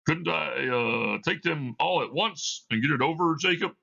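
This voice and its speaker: A man's voice: fairly high pitch, expressive, moderate pace, creaky, pushed and bright, muffled recording, voice pushed up, heavily acted. A man voicing a frightened old miser, nervous, hesitant and pleading, in a theatrical reading of a play.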